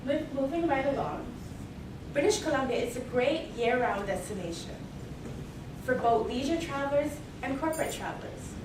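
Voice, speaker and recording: Young woman pitching formally in an echoey hall. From Mexico and Belize. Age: young adult. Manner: measured, formal pitching with repeated "moving right along". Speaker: student presenter. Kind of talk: rehearsed case presentation. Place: large echoey hall, heard from afar. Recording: distant echoey hall recording with noise.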